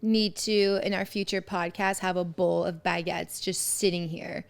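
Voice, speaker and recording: A woman's voice: lowish voice, fairly even intonation, moderate pace, creaky, clean tone. A woman hosting a podcast, asking her guest questions in a friendly, curious, casual way. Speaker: podcast host. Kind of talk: casual chat.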